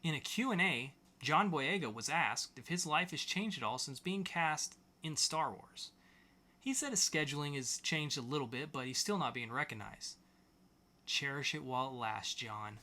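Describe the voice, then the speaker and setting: A man's voice: on the high side, expressive, moderate pace, bright, forceful tone. A man hosting a podcast, reading scripted pop-culture news in an announcer-like way with sarcastic quips. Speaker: podcast host.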